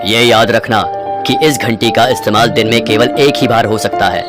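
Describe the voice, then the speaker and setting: A man voicing a grateful, gentle tree spirit in a solemn, measured way for a children's cartoon. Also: fairly low pitch, over loud music, natural voice. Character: grateful, gentle male tree spirit bestowing a magic gift. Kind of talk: children's cartoon story acting.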